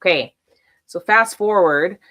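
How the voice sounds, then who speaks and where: Low-voiced chatty woman artist host. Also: measured pace, sharp tone. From South Florida. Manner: chatty, personal, checks in with the listener often. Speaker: artist hosting a how-to series. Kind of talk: casual talk to camera.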